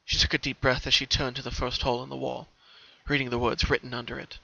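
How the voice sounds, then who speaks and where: Male narrator for dramatic fiction reading: crisp-edged bright tone, fairly high, close and dry, slightly lo-fi sound. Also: little pitch movement, fast, no room sound. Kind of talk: dramatic scripted story narration. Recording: slightly dull, lo-fi home recording.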